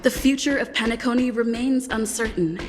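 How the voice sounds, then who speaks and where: A woman's voice: a little high, moderate pace, lightly acted. A woman playing a grand, composed storyteller narrating a scripted video game story recap. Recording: long reverb, music behind.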